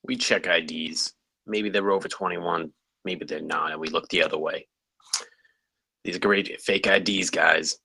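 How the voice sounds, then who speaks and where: A man acts a jaded campus security guard in a comedy script reading. The voice is very low with a hard, forward edge. He delivers everything deadpan, as plain fact. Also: a little slow, creaky, slightly rough, exaggerated voice. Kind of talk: screenplay table read.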